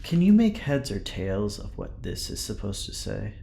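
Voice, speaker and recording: Gravelly, irritable technician. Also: male voice, lowish voice, very animated, normal speed, mellow. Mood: exasperated, scornful. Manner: curt, exasperated, scornful. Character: irritable, distrustful male technician, demanding and scornful. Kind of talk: audio drama acting.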